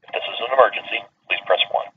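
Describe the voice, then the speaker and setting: Brisk American man on dull phone recording. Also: fairly low pitch, breathy edge, no room sound. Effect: played through a telephone speaker. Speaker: automated police phone line announcer. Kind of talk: scripted recorded phone menu announcement. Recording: dull, noisy telephone playback.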